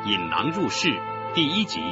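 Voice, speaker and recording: A man's voice: medium pitch, wildly swooping pitch, moderate pace. A man reading a scripted radio programme announcement. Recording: muffled broadcast under loud music. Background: loud music.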